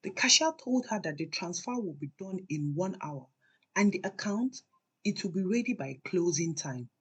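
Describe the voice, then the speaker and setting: Woman, folktale narrator, husky, animated range, dramatic pauses. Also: on the low side. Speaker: storyteller.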